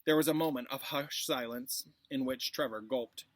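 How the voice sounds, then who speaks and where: A man's voice: medium pitch, normal speed, background noise. A man, a classroom teacher reading a story expressively for his pupils, dropping into informal asides. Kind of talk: expressive read-aloud for pupils.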